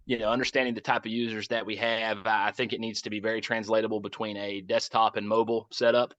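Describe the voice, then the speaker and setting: A lowish-pitched American man speaks informally, like a project lead fielding questions on a conference call. His delivery is halting, often circling back to rephrase. The sound is dry and slightly dull, as through a call. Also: flat delivery, a bit bright, dry recording.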